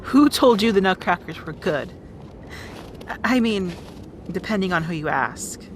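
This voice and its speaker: A woman's voice: medium pitch, expressive, measured pace, slight background noise, lightly acted. A woman playing a bossy, guarded young rat princess in an audio roleplay drama, with exasperated, wry asides. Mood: guarded, explaining.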